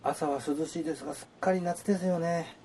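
A man playing a polite, gentle, attentive professional in a Japanese radio drama. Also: fairly high pitch, steady tone, a little fast, a little hiss or hum, natural voice. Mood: courteous.

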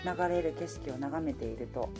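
A woman's voice: rumbling low, moderate pace, over music. A woman playing a romantic, easily flustered working woman, in radio drama dialogue and first-person narration. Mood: subdued.

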